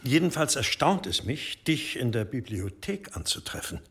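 An actor playing a stern monastery abbot in an audio drama, measured, probing and authoritative. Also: male voice, medium pitch, very animated, fairly bright tone, breathy edge, full character voice. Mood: dry, pointed. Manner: measured, interrogating, accusing. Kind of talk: German audio drama acting.

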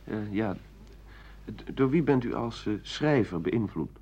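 An actor playing a polite, hesitant radio interviewer in a radio play, putting halting questions. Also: male voice, on the low side, expressive, a little mellow. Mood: polite, probing. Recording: muffled 1980 radio drama recording.

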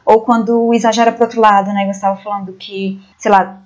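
A woman's voice: medium pitch, level tone, fairly quick, a little mellow, muffled recording. A woman speaking casually as a panelist in a film discussion, informal and thinking aloud. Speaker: podcast panelist.

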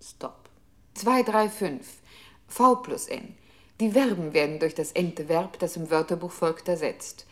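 A woman's voice: lowish voice, a little slow, fairly bright tone. A woman playing a coolly methodical computer control unit in a German radio play, announcing instructions in clipped, impersonal phrases. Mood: curt command. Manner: impersonal, precise, reads numbers and instructions out.

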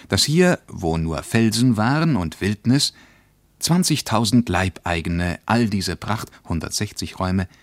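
Deep-voiced, disenchanted male diarist. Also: no room sound. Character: reflective, disenchanted male traveller reading his diary. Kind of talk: literary diary monologue in a radio play.

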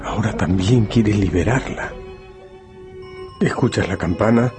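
A man with a very low voice narrates a radio drama. His delivery is solemn and unhurried, like a serious literary storyteller. Music plays clearly behind him. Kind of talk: dramatic second-person scripted narration. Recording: music bed under the voice.

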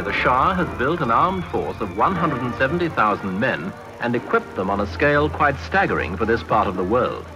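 Male archive-style news commentator, deepish, lively intonation, music behind. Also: poor audio. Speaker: reporter. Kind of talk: scripted English-language newsreel commentary.

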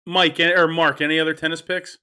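Male host, slightly high and bright, upbeat panel banter, stammers and restarts. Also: flat delivery, fast, clear, resonant tone. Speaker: host of a sports betting show. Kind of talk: casual panel chat.

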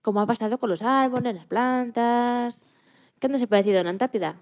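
A woman from playing a lively, joking retired Antarctic scientist in her eighties in a radio interview, often restarting her sentences. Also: a little high, natural voice. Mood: animated, reflective. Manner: chatty, joking, halting with restarts. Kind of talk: scripted mock radio interview.

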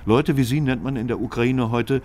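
A man reading scripted documentary narration in a measured, even way. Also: mid-range voice, slight background noise.

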